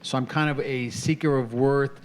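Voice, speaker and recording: A man's voice: mid-range voice, steady tone, moderate pace, slight background noise. A man hosting a community talk show, upbeat and chatty as he introduces his guest and the next song. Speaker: host.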